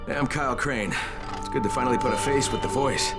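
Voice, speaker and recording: A man's voice: medium pitch, quick pace, over loud music, lightly acted. A man playing a tough, earnest undercover agent in video game dialogue. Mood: businesslike.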